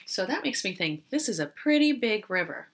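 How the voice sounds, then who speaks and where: A woman teacher doing an interactive read-aloud for young children, explaining as she goes and pausing after questions. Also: medium pitch, sing-song. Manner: questioning, explanatory, with pauses for answers.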